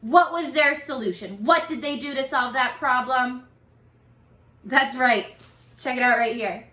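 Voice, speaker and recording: A woman with a high, bright voice reads a children's story in a roomy, echoey space. She takes her time, exaggerating the expression and encouraging her young listeners with questions, as a teacher would. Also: measured pace. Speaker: teacher reading a picture book aloud. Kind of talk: animated children's read-aloud with questions to listeners.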